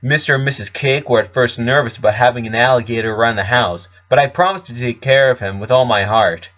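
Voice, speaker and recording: A man reads a scripted tale as its narrator. His pitch is middling and the delivery is that of storybook reading. The recording sounds a little dull and lo-fi. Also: a little fast, fairly bright tone. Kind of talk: storybook-style fan fiction reading.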